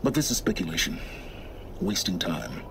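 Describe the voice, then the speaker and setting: An actor playing a cold, secretive middle-aged male scholar of ancient ruins, measured and condescending. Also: low-pitched, sing-song, creaky, background noise, full character voice. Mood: explaining. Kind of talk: video game cutscene acting.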